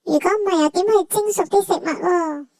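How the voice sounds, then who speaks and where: A woman's voice: extremely high pitch, fairly quick, vocal fry, darkish tone, no echo. A woman voicing a cute, cheeky, self-important cartoon cat that talks childishly and theatrically in Hong Kong Cantonese for a travel vlog. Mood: curious. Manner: childish, theatrical, refers to itself by name.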